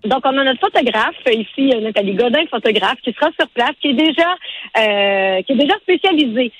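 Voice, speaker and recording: A woman in her forties with a Quebec French accent, talking as a radio interview guest in a halting, conversational way. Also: fairly high pitch, lively intonation, a bit bright, breathy edge, poor audio. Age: 40s. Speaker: interview guest, event organizer and matchmaker.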